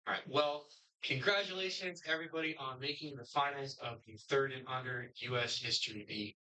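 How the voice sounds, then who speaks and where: A middle-aged man from Connecticut, moderating a history quiz and reading questions in a brisk, upbeat way. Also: mid-range voice, sharp tone, poor audio.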